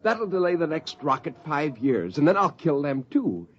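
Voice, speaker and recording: An actor playing a bitter, haunted rebel astronaut with brooding, defiant intensity in 1950s radio drama style. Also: male voice, a little high, big pitch swings, normal speed. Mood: defiant, intense. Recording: dull, hissy 1950s radio recording.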